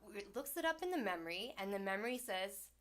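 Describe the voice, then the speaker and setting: Female host, soothing and unhurried, mid-range voice, faintly stuffy from a cold. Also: medium pitch, animated. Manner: soft, soothing, unhurried delivery. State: slightly congested. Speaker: host and sound healer. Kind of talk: livestreamed spiritual talk. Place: small home studio.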